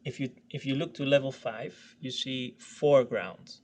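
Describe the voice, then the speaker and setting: A man explaining technical settings in an informal training session, haltingly, often repeating words as he searches for phrasing. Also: mid-range voice, fairly even intonation. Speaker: product expert explaining software.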